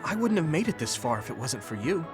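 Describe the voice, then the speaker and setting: Video game hero: teenage boy soldier, sincere and supportive, animated intonation, over background music. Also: fairly high pitch, quick pace. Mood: earnest, grateful. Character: earnest, supportive teenage boy soldier, self-assured and kind. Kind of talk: English video game voice acting.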